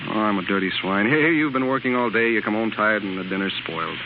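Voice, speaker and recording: An actor in a 1930s radio drama playing a moody, self-pitying young husband and struggling writer, defensive and sulky, on a noisy, muffled recording. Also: male voice, fairly low pitch, fast talker, natural voice. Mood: glum.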